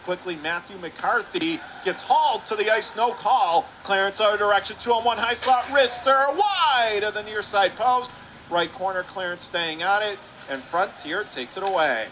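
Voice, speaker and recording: Play-by-play man, high and bright, rapid calls that jump to shouts, faint arena hiss behind. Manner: rapid, rising to excited shouts on close plays. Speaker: radio play-by-play announcer. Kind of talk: live hockey play-by-play.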